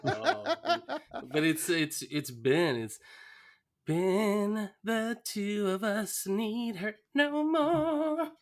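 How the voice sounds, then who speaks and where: A man's voice: high voice, expressive, drawn-out words. A man co-hosting a podcast, playfully chatting about a TV episode, chiming in with laughs and acted-out quotes. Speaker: podcast co-host.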